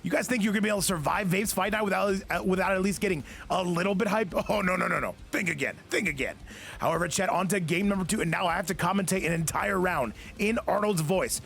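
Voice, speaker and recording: A man hosting and commentating a fighting-game tournament stream, giving hyped, rapid shout-outs to chat. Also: high-pitched, gravelly.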